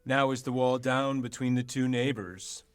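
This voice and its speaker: A young man playing an amused, courtly duke in a radio play of Shakespeare, speaking in a wry, formal, measured way. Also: mid-range voice, little pitch movement, clean tone, faint music behind, lightly acted. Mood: wry amusement.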